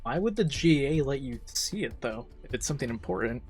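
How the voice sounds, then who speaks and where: A male streamer reacts live to a game's story. He talks informally at a middling pitch, thinking out loud and speculating, while faint music plays behind him. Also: sing-song, fairly quick. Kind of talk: casual live reaction commentary.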